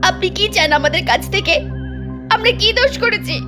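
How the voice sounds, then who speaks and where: A woman's voice: very high voice, lively intonation, a little fast. A woman voicing an anxious young village pupil in a horror cartoon. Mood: terrified. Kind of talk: dubbed horror cartoon dialogue. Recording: music under the voice.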